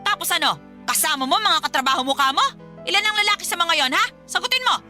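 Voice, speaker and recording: A woman playing a domineering, suspicious middle-aged mother in a Filipino radio drama, nagging and accusing in a sharp-tongued, melodramatic way. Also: very high voice, expressive, fast, faint music behind, full character voice. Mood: scolding, outraged.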